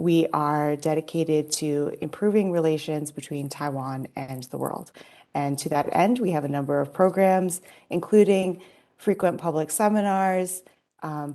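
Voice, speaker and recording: A woman's voice: low-pitched, dark tone. A woman hosting an interview, reading a polite, formal introduction and asking questions. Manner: polite, formal, reads from notes. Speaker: host, research assistant.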